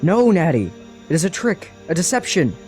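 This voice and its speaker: A fairly high, very animated young male voice , acted by a man in a fantasy audio drama. He is a cautious, protective traveler who speaks formally and with great earnestness. Faint music sits underneath. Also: moderate pace. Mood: urgent, pleading.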